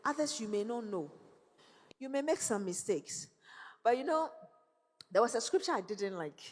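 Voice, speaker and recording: A woman's voice: a little high, animated, moderate pace, a little mellow. A woman giving a motivational talk to young people, telling stories with laughs and faith references. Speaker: guest speaker. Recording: hall reverb.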